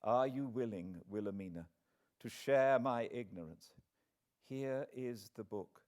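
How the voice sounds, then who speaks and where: A man's voice: mid-range voice, a little mellow, lightly acted. Man playing a grave, shaken Victorian fiancé. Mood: solemn, troubled. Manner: grave, halting, deadly earnest. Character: solemn, shaken Victorian fiancé recovering from brain fever.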